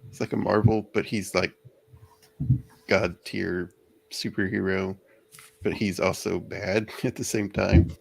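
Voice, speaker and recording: A man co-hosting a casual book discussion, speaking haltingly and often repeating words. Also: low voice, fairly even intonation, creaky, slightly rough, low-quality recording. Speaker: co-host of a read-along discussion.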